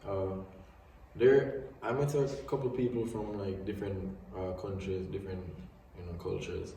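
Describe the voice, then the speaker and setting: A young man from Jamaica speaking casually as a student guest, halting and searching for words. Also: low-pitched, fairly even intonation, creaky, mellow, far from the mic, slight background noise. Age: young adult. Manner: halting, many fillers and restarts. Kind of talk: casual chat. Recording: very echoey room, off mic.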